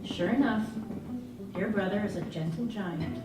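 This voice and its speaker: A woman voicing a knowing mother who gives quiet, foreboding warnings in a story reading. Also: medium pitch, measured pace, close to an everyday voice. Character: knowing, secretly hopeful smoking mother giving a warning. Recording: very echoey room, far off, music behind.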